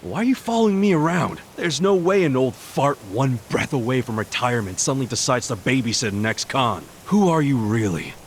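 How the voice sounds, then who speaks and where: A man with an American accent playing a gruff, defensive, hot-headed yakuza ex-convict in a video game. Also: mid-range voice, sing-song, fairly quick, hardly any pauses, a little hiss or hum. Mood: combative. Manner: brash, defensive, slangy. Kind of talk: English video game dub acting. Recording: music behind the dialogue.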